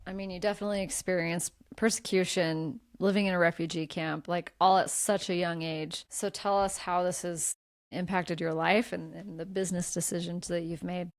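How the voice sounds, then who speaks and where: A woman's voice: fairly low pitch, steady tone, normal speed, dry recording, studio quality. A woman hosting a podcast, asking questions in a conversational interview style. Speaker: podcast host.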